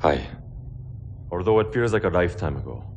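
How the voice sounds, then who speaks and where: Very deep, stoic veteran mercenary. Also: male voice, expressive, fairly quick, a little mellow, a little hiss or hum. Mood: reflective. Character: stoic veteran male mercenary pilot, humble origins. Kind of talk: dramatic video game cutscene acting.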